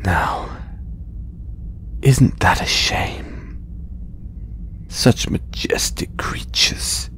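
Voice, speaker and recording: A man acting a slow, whispering, condescending alien collector. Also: sits low, animated, fairly bright tone, heavily acted. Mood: smug, menacing. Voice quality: breathy, half-whispered. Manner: condescending, deliberate with long pauses. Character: condescending, possessive alien trophy hunter and collector, male. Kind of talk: slow audio roleplay monologue to listener.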